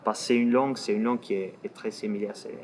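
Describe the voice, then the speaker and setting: Portuguese guy, lowish voice, chatting in french he's still learning, keeps hunting for words. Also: steady tone, fast talker, a little mellow, slight background noise. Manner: searching for words, frequent restarts. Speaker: guest, language youtuber. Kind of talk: casual conversation in a learned language. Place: outdoors in a city.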